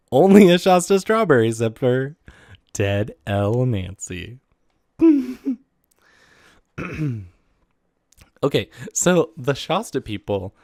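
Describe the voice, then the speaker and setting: Man, casual comic reading aloud, giggly, highly varied intonation, unhurried, close dry sound. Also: darkish tone, no room sound. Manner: giggly, often breaking into laughter while reading. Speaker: book reviewer reading excerpts aloud.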